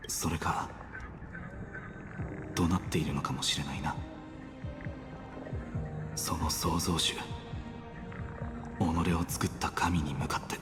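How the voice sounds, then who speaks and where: Low male voice, somber young anime game hero, brooding, hushed, grave, music behind. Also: steady tone, moderate pace, long pauses. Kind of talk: Japanese anime game voice acting.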